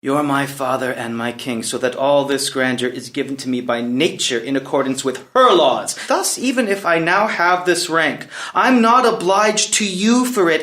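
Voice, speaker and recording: A man's voice: mid-range voice, pushed and bright, natural voice. A man playing a proud, impetuous young prince in a dramatic play reading, declaiming emphatically and floridly. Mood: defiant, resentful.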